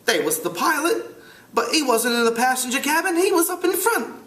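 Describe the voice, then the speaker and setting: A man's voice: very high-pitched, sing-song, a little fast, fairly bright tone, roomy sound, voice pushed up, full character voice. A man voicing a plump, anxious, insistent English schoolboy with a put-on British accent, reading a novel aloud.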